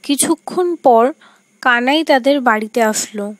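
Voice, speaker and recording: A woman's voice: high-pitched, measured pace, no echo. A woman reading scripted storybook narration for a cartoon tale.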